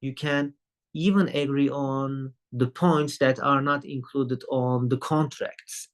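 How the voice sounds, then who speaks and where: A man's voice: medium pitch, steady tone, slow pace. Turkish-accented man lecturing, checking with "right?". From Turkey. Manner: frequent tag question "right?" and filler "um". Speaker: university lecturer. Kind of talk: recorded online lecture. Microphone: computer mic on a video call.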